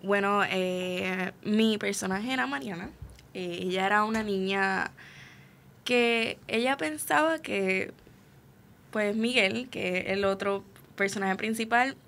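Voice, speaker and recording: Young female interviewee, bright voice at average pitch, earnest, halting, conversational delivery. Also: gravelly. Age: young adult. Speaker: student actress being interviewed.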